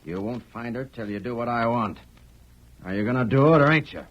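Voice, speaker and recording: An actor playing a ruthless outlaw boss in an old radio western, barking threats in rough frontier speech. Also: male voice, mid-range voice, fairly quick, lightly acted. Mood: threatening, bossy. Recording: muffled, hissy old radio broadcast.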